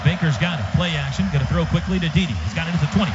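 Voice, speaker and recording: A high-pitched American man does football play-by-play in short, urgent phrases. The broadcast sound is dull, with loud stadium noise all around him. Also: rapid-fire. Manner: clipped phrases, building excitement as plays unfold. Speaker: radio play-by-play announcer. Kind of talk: live American football radio play-by-play commentary. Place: stadium radio booth. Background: loud outdoor stadium noise.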